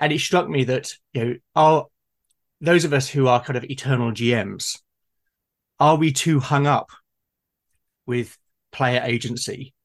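A man hosting a casual, reflective discussion, musing aloud in a halting way and often restarting his sentences. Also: mid-range voice. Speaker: host and game master in a conversation.

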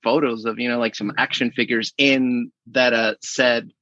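A man's voice: mid-range voice, level tone, moderate pace, fairly bright tone, no room sound, dull audio. A man in his late thirties, a podcast guest, talking casually and rambling a bit, with many fillers. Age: late 30s. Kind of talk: casual remote conversation.

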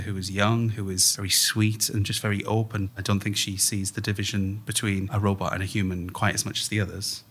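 A man's voice: lowish voice, fairly bright tone, breathy edge. A man speaking as an interviewed playwright, explaining his thinking in a reflective, conversational way.

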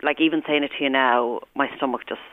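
A woman's voice: fairly even intonation, quick pace, no echo. Irish woman on a tinny phone line. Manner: earnest, repeats and restarts, jumps up in pitch when quoting people. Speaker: guest. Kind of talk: animated personal conversation.

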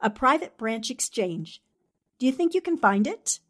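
A woman playing a brisk, determined newspaperwoman sleuth in a 1940s-style radio drama, with a commanding manner. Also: on the high side, big pitch swings, a bit bright. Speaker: actor in an amateur radio drama re-creation.

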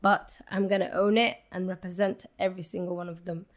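A young woman from Somalia, a football referee and coach, chatting eagerly in a podcast interview with lots of "like"s and quick restarts. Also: lowish voice, level tone. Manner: eager, chatty, rambling with many "like"s. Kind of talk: casual interview chat.

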